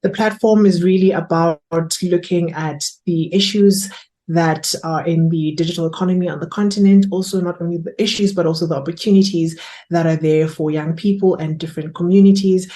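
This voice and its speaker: A woman's voice: on the low side, fairly even intonation, moderate pace, dark tone. A woman with a South African accent talking casually in an interview as a podcast producer and host.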